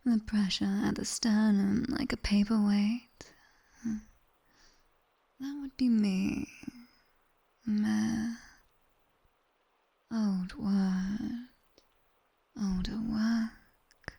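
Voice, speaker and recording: A British woman playing a sharp-tongued demoness tutor in a slow, teasing, breathy ASMR whisper, close on the microphone. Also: mid-range voice, steady tone, exaggerated voice. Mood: sly, ominous. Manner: slow, deliberate, teasing, dryly stern. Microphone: close binaural microphone.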